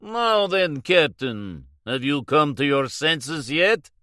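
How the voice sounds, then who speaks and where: A man's voice: a little high, lively intonation, slow pace, sharp tone, dry recording, clean studio sound, voice pushed up, exaggerated voice. A man doing a smug, menacing villain. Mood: smugly menacing. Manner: mockingly polite, coaxing. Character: menacing Russian man, smooth and patronizing.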